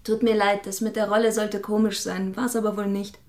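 A woman's voice: mid-range voice, flat delivery, brisk, a little mellow, a little hiss or hum, natural voice. An actress playing an eager, coy young woman with writing ambitions in a radio drama. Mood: sheepish. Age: young adult.

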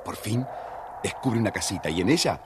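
A man narrating a children's storybook in an expressive, theatrical way. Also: lowish voice, a little fast, noisy background.

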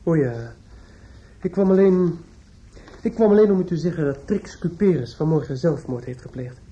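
A man's voice: fairly high pitch, expressive, moderate pace, dark tone, close to an everyday voice. An actor in a radio play playing a bitter, grieving younger man, formally polite but pointed and reproachful. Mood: tense. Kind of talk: Dutch radio play acting. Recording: dull old radio recording.

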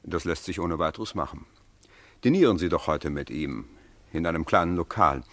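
An actor playing a dignified, courteous government minister, formal and authoritative, in a radio crime drama. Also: male voice, low voice, animated, normal speed, a bit bright, no room sound. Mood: courteous, businesslike.

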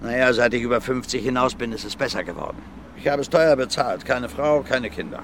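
An actor playing a gruff, blunt violent brawler in his fifties under questioning in a radio drama, plain-spoken and repetitive. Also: mid-range voice, a little fast, background noise, lightly acted. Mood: blunt, matter-of-fact. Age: 50s. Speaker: suspect being questioned.

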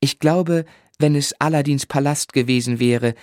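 An actor narrating a radio play as a grown man gently and reflectively recalling his boyhood, in measured literary style. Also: medium pitch, no room sound, studio quality, close to an everyday voice. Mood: fond.

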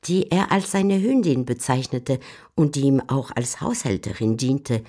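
A woman giving measured, composed scripted narration for a literary radio drama. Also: rumbling low, dark tone. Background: faint music.

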